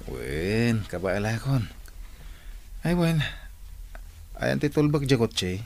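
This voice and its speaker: An actor playing an easygoing, reassuring husband in an Ilocano radio drama, with an Ilocano accent. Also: male voice, mid-range voice, sing-song, slow pace, vocal fry, a little mellow, soft background music, full character voice. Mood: upbeat. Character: easygoing husband back on his feet, content with simple living.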